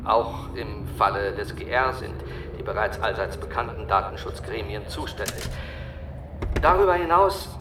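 An actor playing an officious official in a German radio drama, speaking formal bureaucratic language from a distance amid noise. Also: male voice, on the low side, expressive, moderate pace, lightly acted. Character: officious male official giving bureaucratic assurances. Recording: distant, echoey, noisy ambience.

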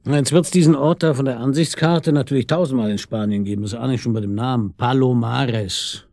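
A man's voice: mid-range voice, a little fast, vocal fry, darkish tone, no echo, natural voice. An actor playing a police detective in a naturalistic radio drama, wry and probing, hesitant as he thinks aloud. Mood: wry, pondering.

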